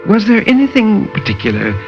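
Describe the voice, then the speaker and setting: Guy doing a chatty society aunt, eerie old radio, pitch all over, muffled. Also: wildly swooping pitch, fairly quick, faint music behind, full character voice. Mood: bright, sociable. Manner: bright social manner, chatty. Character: bright, sociable, eerily chatty aunt. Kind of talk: 1950s radio horror storytelling. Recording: muffled 1950s radio broadcast.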